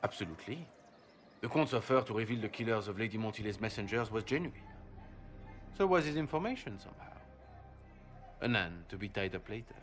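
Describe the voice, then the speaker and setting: An actor playing a polite, cultured assassin in a game cutscene, speaking in formal, measured phrases. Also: male voice, fairly low pitch, animated, soft background music, lightly acted. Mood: polite, composed. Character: courteous, cultured male assassin messenger from a guild. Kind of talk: scripted video game cutscene acting.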